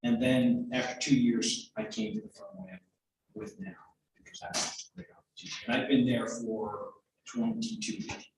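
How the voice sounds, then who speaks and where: A middle-aged man, a trial lawyer on a career panel, talking in a relaxed way with fillers. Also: medium pitch, moderate pace, vocal fry, noisy background. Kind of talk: panel answer. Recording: echoey room, voice far from mic.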